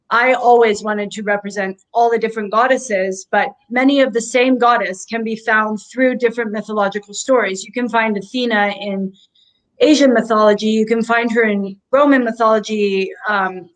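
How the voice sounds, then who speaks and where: Guest: comic writer woman, mid-pitched, measured, fills pauses with ums. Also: poor audio. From Japan. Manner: thoughtful, explains with many ums. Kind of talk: casual interview.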